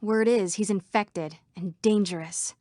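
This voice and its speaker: A woman's voice: medium pitch, a little slow, a little mellow, dry recording, clean studio sound, lightly acted. An actress voicing female computer program citizens in a scripted video game. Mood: uneasy. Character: female computer program citizens, by turns frightened, defiant or official.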